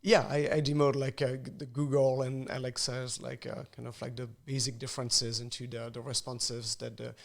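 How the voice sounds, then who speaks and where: A man's voice: medium pitch, level tone, normal speed, gravelly, hardly any pauses. A man with a French accent answering as an expert guest in conversation, with hesitant, rambling phrasing. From France.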